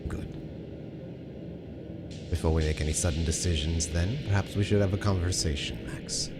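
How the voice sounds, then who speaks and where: Casting: male performer as composed, cunning vampire elder for tabletop game, lowish voice, formal measured delivery. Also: loud music behind the voice, close to an everyday voice. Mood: calculating.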